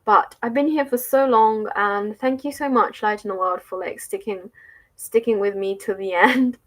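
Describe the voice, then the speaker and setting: A woman's voice: mid-range voice, normal speed, a bit bright. A woman with an English accent chatting casually on a livestream, answering viewer comments with frequent laughs, likes and restarts. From England.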